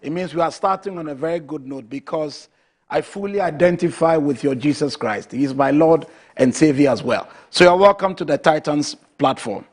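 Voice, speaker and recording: A man's voice: fairly high pitch, moderate pace. A man with a Ghanaian English accent hosting a TV interview, asking questions in a formal, emphatic manner. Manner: formal, emphatic, addresses guest as madam. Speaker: TV show host.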